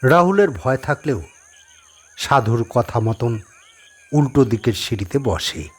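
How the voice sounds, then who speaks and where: Husky, slow male horror narrator. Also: medium pitch, very animated. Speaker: narrator and story reader. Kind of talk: dramatic scripted horror narration.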